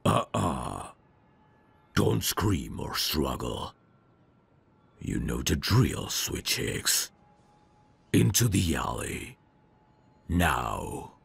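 A very slow, menacing mugger from an ASMR roleplay , acted out by a man. He taunts and threatens in a rock-bottom, gravelly male voice, leering as he goes. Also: a bass voice, very animated, fairly bright tone, full character voice. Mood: threatening. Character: menacing male street mugger, lecherous thug.

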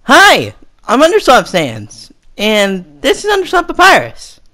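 A man's voice: extremely high pitch, huge pitch swings, unhurried, bright, forceful tone, voice pushed up, full character voice. A man voicing a bubbly, eager little male skeleton for a comic dub. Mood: cheerful.

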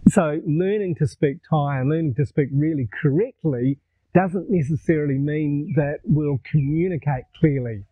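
A man in his sixties with a New Zealand accent, telling stories to camera in a relaxed, conversational way on a lapel mic outdoors. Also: fairly high pitch, moderate pace, slightly rough, narrow, tinny audio. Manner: relaxed, conversational, gestures while talking. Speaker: vlogger. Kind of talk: casual storytelling to camera. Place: outdoors in a garden.

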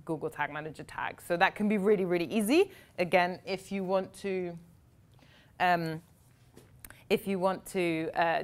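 A young woman speaking at a meetup, answering audience questions in fluent, quick explanations sprinkled with "um" and "like". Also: fairly low pitch. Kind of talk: live Q&A at a tech meetup. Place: meeting room with seated audience, indoors.

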